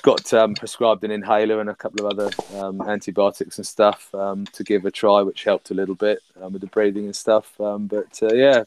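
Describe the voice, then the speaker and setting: A man, a podcast guest, chatting casually and rambling with many fillers and restarts, his voice husky from a recent illness. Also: fairly low pitch, little pitch movement, a little fast, few pauses, a little mellow, slight background noise. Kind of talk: casual chat.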